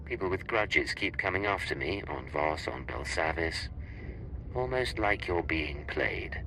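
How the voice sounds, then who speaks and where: A man's voice: a bass voice, expressive, measured pace, music in the background, poor audio. An actor voicing a cool, defiant male spy in a video game, dry and unruffled.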